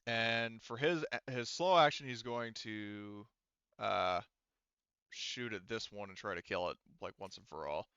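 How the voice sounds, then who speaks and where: A man works as game master in a casual tabletop session, with a bright, crisp voice. He swears and jokes his way through the narration, recorded close and dry. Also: lowish voice, measured pace, dry recording, lo-fi sound. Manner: sweary, joking.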